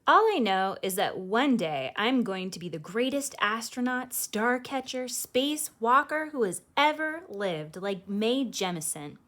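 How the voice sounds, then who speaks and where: A woman voicing an excited, confident little girl who loves space, in an animated storybook read-aloud. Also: a little high, measured pace, hardly any pauses. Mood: proud, dreamy.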